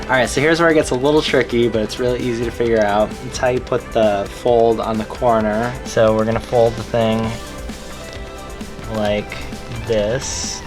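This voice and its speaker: A man with a medium-pitched voice hosts a hands-on DIY tutorial. He talks informally and in an easygoing way, as if explaining to a friend. Music plays clearly behind his voice. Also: steady tone, moderate pace. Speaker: host of a DIY build tutorial. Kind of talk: casual hands-on tutorial talk.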